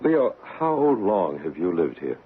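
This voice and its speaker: Calm ghost investigator, low voice, courteous and measured, reassuring, old radio play, thin phone-like sound. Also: male voice, breathy edge, full character voice. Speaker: paranormal investigator and narrator. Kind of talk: old-time radio drama acting. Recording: band-limited, noisy old radio recording.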